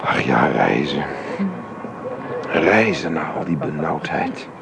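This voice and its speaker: A man's voice: rumbling low, takes it slowly, gravelly, crowd noise, exaggerated voice. A middle-aged man playing a wistful, affectionate father in a naturalistic radio drama, searching for his words and repeating them. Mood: wistful, reflective. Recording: noisy radio play recording.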